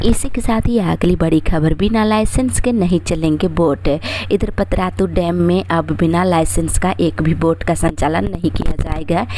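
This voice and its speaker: A woman with a slightly low voice presents a scripted news bulletin. Her delivery is formal and follows a set headline-reading pattern. Some faint noise sits behind the voice. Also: a little mellow.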